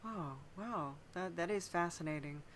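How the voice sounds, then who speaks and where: Female podcast host, slightly low register, casual, attentive and musing interview style. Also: normal speed.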